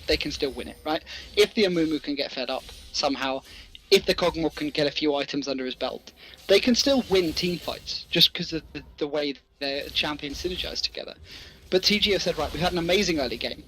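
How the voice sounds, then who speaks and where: A man's voice: on the high side, bright, forceful tone, slight background noise, rough recording. A man giving live esports commentary, talking through the play conversationally and posing rhetorical questions.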